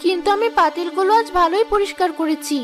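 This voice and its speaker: A woman's voice: extremely high pitch, fast, a bit bright. A woman playing a proud, naive and discontented young wife in a cartoon fairy tale, plaintive and petulant. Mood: tearful, defensive. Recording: music behind the voice.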